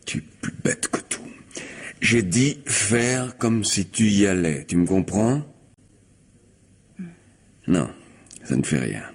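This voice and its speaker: An actor in a French radio mystery drama playing a cruel, greedy lover, contemptuous, commanding and impatient. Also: male voice, fairly low pitch, lively intonation, slow, long pauses, breathy edge, exaggerated voice. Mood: bullying, impatient. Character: cruel, domineering, money-grubbing lover bullying a submissive woman.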